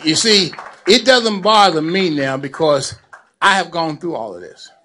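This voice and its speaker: Older man, high voice, preacherly speech, repeats lines for emphasis. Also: lively intonation, a little slow, bright, forceful tone, slight background noise. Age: 70s. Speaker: lawyer and community activist. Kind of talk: speech to a gathering.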